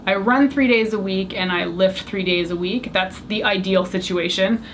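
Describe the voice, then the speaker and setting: A woman's voice: mid-range voice, normal speed, fairly bright tone, slight background noise, poor audio. A young woman whose first language was French, chatting candidly to the camera as she answers a tag of questions. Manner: chatty, candid, self-deprecating. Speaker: beauty YouTuber. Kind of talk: casual Q&A tag video.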